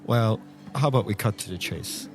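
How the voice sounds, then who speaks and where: A man's voice: lowish voice, moderate pace, music in the background, natural voice. A man playing a polite, persistent investigator with a hesitant, dry manner in an improvised tabletop game. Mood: insistent, dry. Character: polite, persistent male investigator named Dolan.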